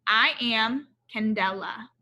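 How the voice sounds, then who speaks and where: A woman voicing an eager, curious little girl in a storybook, earnest and expressive. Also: on the high side, slow pace. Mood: eager, proud. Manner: earnest, expressive, addressing her father.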